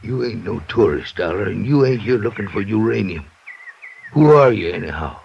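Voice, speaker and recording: An actor playing a flustered, evasive old rural camp keeper in a radio drama, gravelly, folksy and hesitant. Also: male voice, lowish voice, animated, normal speed, darkish tone. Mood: defensive, indignant. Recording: dull, noisy vintage radio recording.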